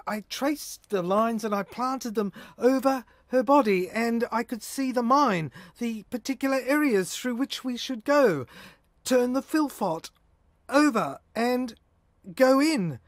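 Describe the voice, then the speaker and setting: Man acting a haunted, high-pitched visionary, halting and slow. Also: lively intonation, dry recording, clean studio sound. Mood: urgent, fevered. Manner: halting, repeats words, fragmented incantatory phrasing. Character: haunted, feverish male visionary recalling a lost woman. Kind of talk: poetic dramatic monologue for audio drama.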